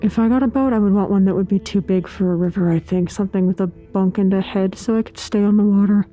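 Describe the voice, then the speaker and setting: Very mellow, reserved, matter-of-fact woman played by an actress. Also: mid-range voice, fairly even intonation, quick pace, faint music behind, close to an everyday voice. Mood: quietly musing. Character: reserved, literal-minded, wistful woman. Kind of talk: understated audio drama acting.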